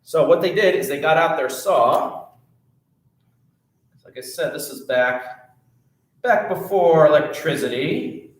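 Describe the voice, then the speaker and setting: A man telling a children's story at a library storytime, animated and playful, chatting with his young listeners. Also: fairly high pitch, slow, pauses often, roomy sound.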